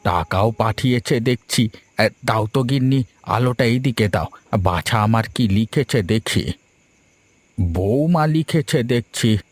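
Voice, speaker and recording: A man playing a teasing, placating old village grandfather in an animated story. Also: medium pitch, unhurried, dry recording, soft background music. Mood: pleased. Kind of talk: Bengali animated story dialogue.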